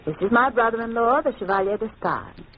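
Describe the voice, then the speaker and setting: A woman's voice: on the high side, lively intonation, a little fast, close to an everyday voice. An actress in an old-time radio drama playing a haughty, aggrieved noblewoman with an imperious delivery, on a tinny, noisy recording. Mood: formal. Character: haughty, aggrieved, demanding marquise.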